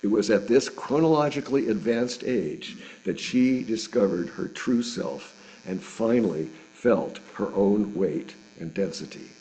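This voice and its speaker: Male sermon reader, relaxed dark tone on the lower side, measured scripted delivery. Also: rough recording. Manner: measured, deliberate phrasing with pauses. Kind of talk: sermon read from a written script.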